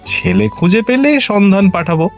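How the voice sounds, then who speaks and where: A man's voice: high voice, moderate pace, creaky, soft background music. A man playing a bashful, smitten young schoolteacher in a romantic audio drama. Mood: shyly hopeful. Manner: bashful, earnest.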